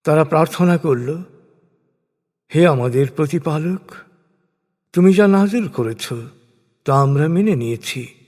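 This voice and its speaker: A man with a slightly high voice recites religious prose from a script. His delivery is solemn, formal and measured, set out in short declamatory phrases. Long reverb trails each phrase, as in a big hall. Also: a little mellow. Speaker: narrator of a scripture translation.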